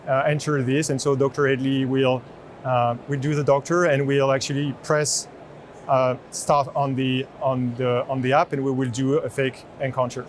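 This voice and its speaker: A man in his thirties with a French accent giving an unscripted product demo in a halting way. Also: mid-range voice. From France. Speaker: chief product officer demonstrating a product. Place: open booth in large indoor exhibition hall. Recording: noisy trade show hall background.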